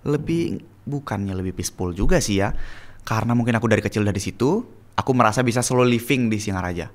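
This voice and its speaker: Fast-talking young man, quick reactions. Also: on the low side, lively intonation. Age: 20s. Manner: quick, reactive, lots of short exclamations. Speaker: guest. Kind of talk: casual chat.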